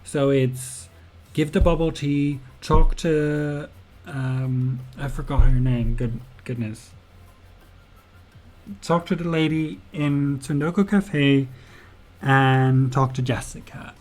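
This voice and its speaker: A man's voice: medium pitch, darkish tone. A young man, a game developer, gives a casual livestream tutorial, thinking aloud with fillers, laughing often and answering chat. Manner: chatty, giggly, thinks aloud with many fillers.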